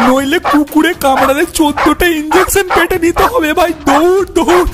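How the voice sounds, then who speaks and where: A man voicing a timid, whiny young boy sidekick in a comic cartoon, pleading and fretful, with drawn-out exclamations. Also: extremely high pitch. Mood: panicked. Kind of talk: exaggerated comic cartoon dialogue. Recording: music under the voice.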